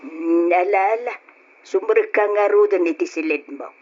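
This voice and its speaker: A woman's voice: mid-range voice, normal speed, a little mellow, close to an everyday voice. An actress with an Ilocano Filipino accent playing a worried, scolding middle-aged mother in a radio drama. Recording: muffled, noisy old radio drama.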